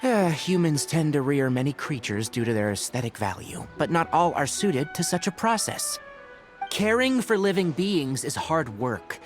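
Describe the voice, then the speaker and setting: Patient young ranger scholar voiced by a man, lively measured lecturing, soft music behind. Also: on the high side, natural voice. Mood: explaining. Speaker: game character.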